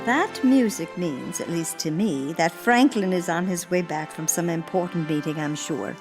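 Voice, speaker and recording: A woman's voice: on the low side, moderate pace, over music, natural voice. An actress playing an earnest, gracious elder First Lady in a radio play, speaking formally and with theatrical emphasis. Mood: reminiscing. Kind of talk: radio theater monologue and dialogue.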